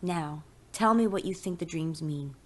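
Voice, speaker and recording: A woman's voice: low voice, normal speed, darkish tone. A woman voicing a sleepy, bewildered husband in an audio story, questioning his wife with patient tenderness.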